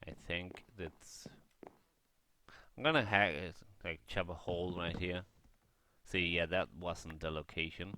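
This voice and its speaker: A man's voice: low-pitched, measured pace, long pauses, background noise. A man giving casual gameplay commentary, rambling and thinking aloud as he shares blunt opinions. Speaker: gaming vlogger.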